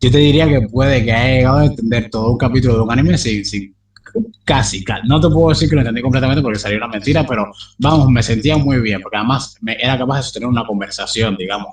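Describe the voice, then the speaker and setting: A man's voice: mid-range voice, distant mic, slight background noise. A young man talking casually as an interview guest, rambling with many fillers and restarts. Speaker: polyglot interviewee.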